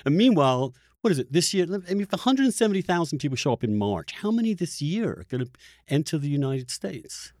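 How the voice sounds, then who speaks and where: Animated man arguing his points emphatically. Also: fairly high pitch, brisk, no room sound, clean studio sound. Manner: emphatic, argumentative, questioning. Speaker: podcast host. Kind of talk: opinionated interview conversation.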